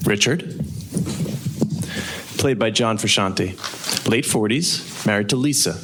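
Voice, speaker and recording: A man's voice: fairly low pitch, slow pace. A man reading scripted narration for a radio play live on stage. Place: theatre stage before an audience. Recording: live stage recording, clear background noise.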